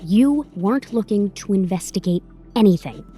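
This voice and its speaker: A woman's voice: mid-range voice, animated, moderate pace, warm tone, faint music behind, close to an everyday voice. A woman playing a tough, protective advocate in an audio drama, blunt, slangy and profane. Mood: insistent. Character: tough, brassy, protective woman advocate coaching her client.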